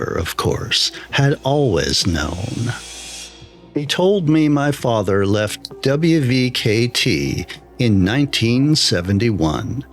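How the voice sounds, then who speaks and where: A man narrating a scripted first-person horror story as a storyteller. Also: on the low side. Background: music.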